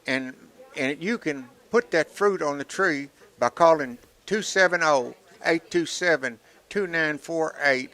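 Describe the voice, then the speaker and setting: A man with a fairly high, bright, forceful voice hosts a live radio telethon. He pitches for donations in a folksy, joking way. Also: unhurried, rough recording. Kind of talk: live radio fundraising appeal.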